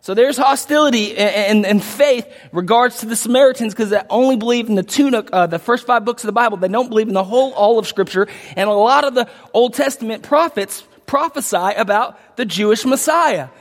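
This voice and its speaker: A man preaching a verse-by-verse sermon, his voice a little lower from illness, earnest with frequent restarts and rising emphasis. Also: brisk, few pauses, big-room sound. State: sick, voice deeper than usual. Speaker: pastor.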